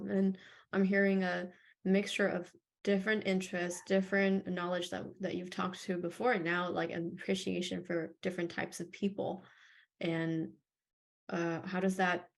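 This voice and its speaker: A woman's voice: lowish voice, little pitch movement, normal speed, gravelly. A woman interviewing a colleague, asking questions in an encouraging, affirming way. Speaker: head of coaching, interviewer.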